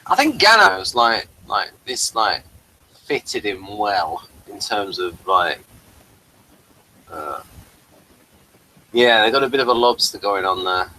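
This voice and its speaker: A man giving casual, running commentary on a TV episode as a review co-host, rambling and halting, often trailing off mid-sentence. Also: on the low side, sing-song, pushed and bright, low-quality recording.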